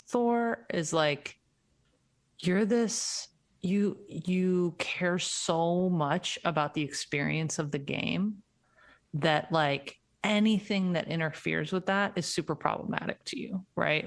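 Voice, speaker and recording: Woman interviewer, pretty low voice w lots of fry, chatty and emphatic. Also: takes it slowly. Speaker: interviewer, host. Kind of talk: casual conversational interview.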